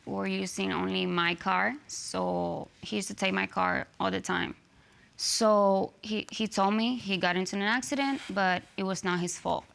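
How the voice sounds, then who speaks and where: A woman's voice: mid-range voice, fairly even intonation, measured pace, vocal fry, a bit bright. A young woman with a Cuban accent and Spanish as her first language, telling her side conversationally in a TV court. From Cuba. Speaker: litigant on a TV court show. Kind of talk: conversational testimony. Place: TV studio courtroom.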